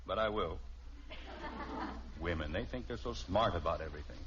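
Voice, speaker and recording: Lively, teasing radio-sitcom husband. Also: male voice, low voice, normal speed. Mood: grumbling. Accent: American. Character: teasing, indignant but good-humoured middle-aged family husband and father. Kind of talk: mid-century radio sitcom acting. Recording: muffled, noisy old radio recording.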